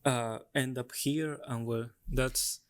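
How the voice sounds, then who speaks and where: Very low-voiced, slow woman interviewer. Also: fairly even intonation. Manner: prompting, short questions and backchannels. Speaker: podcast host, interviewer. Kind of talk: casual interview conversation.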